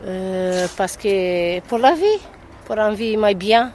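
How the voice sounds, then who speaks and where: A woman's voice: medium pitch, lively intonation, takes it slowly, a little mellow, slight background noise. A woman from Romania with a Romanian accent, a native Romanian speaker, answering an informal interview in broken, searching phrases. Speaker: interviewed shanty town resident.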